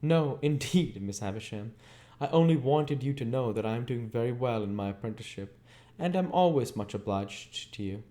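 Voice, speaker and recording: A man's voice: mid-range voice, animated, moderate pace, mellow, voice pushed up, close to an everyday voice. A man voicing a polite, nervous young apprentice boy in a storybook reading, deferential and earnest. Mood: meek, obliging.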